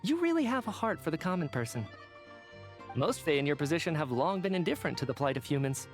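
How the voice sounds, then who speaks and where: A man playing an earnest, chatty young government researcher in a video game, oblivious and expressive. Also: on the high side, quick pace, soft background music.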